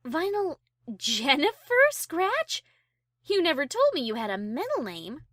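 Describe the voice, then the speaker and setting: A woman voicing a prim, high-class and easily offended female pony in a fan audio story, speaking formally. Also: wildly swooping pitch, a little slow, fairly bright tone, no room sound, clean studio sound, heavily acted. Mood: surprised. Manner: formal, refined, prissy. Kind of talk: acted fan fiction audio drama.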